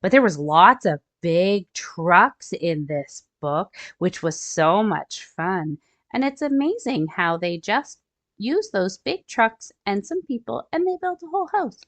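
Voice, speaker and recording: A woman hosts a children's storytime, reading a picture book aloud in an expressive, sing-song manner and chatting to her young listeners. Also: medium pitch, slow.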